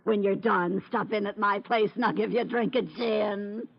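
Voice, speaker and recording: Gravelly, brassy old landlady. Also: medium pitch, steady tone, normal speed. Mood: flirtatious, amused. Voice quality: creaky, gravelly. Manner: blunt, slangy wisecracking. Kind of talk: 1940s radio drama acting. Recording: muffled vintage radio recording.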